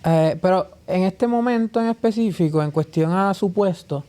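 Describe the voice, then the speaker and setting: Male host, warm tone, long searching questions. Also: sits high, moderate pace. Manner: attentive, long winding questions, "ujú" backchannels. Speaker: podcast host. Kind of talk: interviewer questions.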